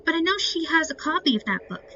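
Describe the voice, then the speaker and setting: A woman's voice: very high voice, sing-song, fast, pushed and bright. A woman giving casual commentary on a game playthrough, explaining things to viewers as she goes. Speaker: gamer narrating a playthrough. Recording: muffled lo-fi mic with clear noise.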